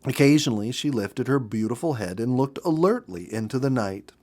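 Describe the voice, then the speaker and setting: Male storybook narrator, medium pitch, measured and dramatic, expressive read. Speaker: audiobook narrator.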